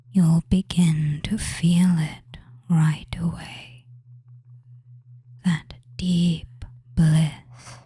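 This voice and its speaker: A man voicing a tender, teasing, commanding femboy witch in a breathy whisper, slowly giving soothing hypnotic commands in an audio roleplay. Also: on the high side, dark tone, exaggerated voice. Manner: slow, soothing, drawn-out phrases with commands.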